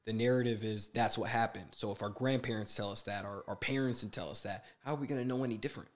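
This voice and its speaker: A man's voice: fairly low pitch, steady tone, quick pace. A man in his twenties, a guest on a casual podcast, talking in a laid-back, slangy way and growing emphatic when he makes a point. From USA. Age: 20s.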